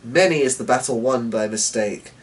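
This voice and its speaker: A man giving a measured, theatrical dramatic reading of a short story as a storyteller. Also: mid-range voice, recorded from a distance, low-quality recording.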